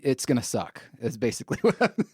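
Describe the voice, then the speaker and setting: A man with a warm, dark-toned voice of medium pitch, recorded very dry. He hosts a podcast in an easygoing, informal chat style. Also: sing-song, a little fast, breathy edge, no room sound. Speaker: podcast host. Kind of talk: casual chat.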